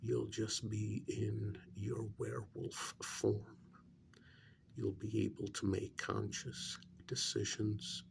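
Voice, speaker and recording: A man playing a calm government agent interrogating a captured werewolf in a soft-spoken ASMR role-play, breathy and often near a whisper, measured and quietly coaxing. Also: fairly low pitch, noisy background, full character voice. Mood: calm, matter-of-fact.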